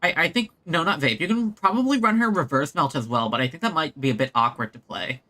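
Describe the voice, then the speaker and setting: A man chatting casually as he streams a game, halting as he thinks aloud. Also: on the high side, expressive. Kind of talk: casual chat while playing a game. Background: soft game music.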